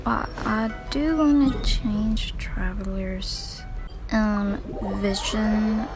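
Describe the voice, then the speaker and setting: A woman's voice: medium pitch, low-quality recording. A woman doing casual gameplay commentary, reacting with slangy exclamations and reading bits aloud. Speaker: game streamer. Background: game music.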